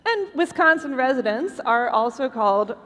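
A woman's voice: high-pitched, big pitch swings, moderate pace, a bit bright. A woman podcast host presenting a live stage show to a convention audience. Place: large indoor convention hall. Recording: echoey convention hall, clear background noise.

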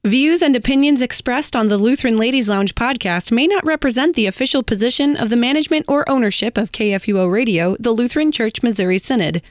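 A woman announcer reading a sponsor credit and disclaimer in a measured, formal way. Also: on the high side, no echo, clean studio sound.

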